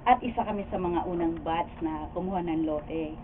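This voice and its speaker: A woman's voice: lowish voice, moderate pace, close to an everyday voice. A woman with a Filipino accent playing a friendly, proud housewife in a radio drama rehearsal. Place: radio recording studio. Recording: tinny, band-limited studio footage with faint noise.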